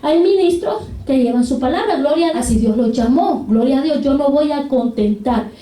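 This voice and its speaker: Fervent high-voiced woman preaching in an echoey hall. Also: normal speed, warm tone, recorded from a distance. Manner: fervent, repeats hallelujah and glory to God. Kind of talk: sermon.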